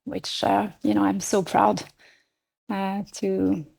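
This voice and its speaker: Middle-aged woman, native French speaker, French accent; podcast guest, measured and thoughtful with hesitations. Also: mid-range voice, steady tone, vocal fry, darkish tone. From France. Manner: measured, many fillers and restarts. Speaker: nonprofit CEO, guest. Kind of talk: podcast interview.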